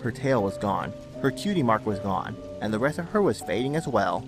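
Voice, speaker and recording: A man's voice: fairly low pitch, very animated, brisk. A man reading scripted dramatic story narration. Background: music under the narration.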